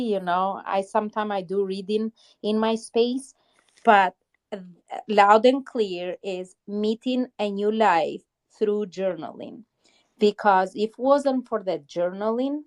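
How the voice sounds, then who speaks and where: A woman's voice: medium pitch, a little mellow. A woman hosting an online audio chat room, talking in an effusive, uplifting way about spirituality and intentions. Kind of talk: spiritual encouragement in casual chat. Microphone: phone or headset in an online audio room.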